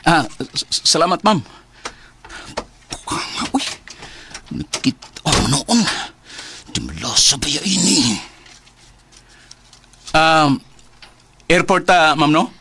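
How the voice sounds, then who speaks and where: An actor with a Filipino accent playing a stubborn, impatient working husband who grumbles and stammers when flustered, in radio drama style. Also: male voice, a little high, big pitch swings, slow, pauses often, bright, forceful tone, exaggerated voice. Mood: flustered, polite. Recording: noisy, with vehicle sound behind.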